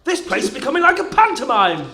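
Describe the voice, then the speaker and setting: A man playing a pompous, excitable judge in a comedic radio sketch, bossy and theatrical. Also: very high voice, fast, crowd noise, full character voice. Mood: exasperated. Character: pompous, excitable courtroom judge with daft outbursts.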